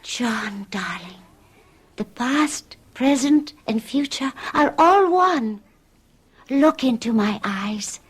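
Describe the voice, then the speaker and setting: Seductive mystery woman, high voice, slow and breathy, radio drama. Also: expressive, heavily acted. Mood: entreating. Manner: slow, breathy, hushed and entreating. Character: seductive mysterious woman calling a lover back to a past life. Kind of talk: 1970s radio drama acting.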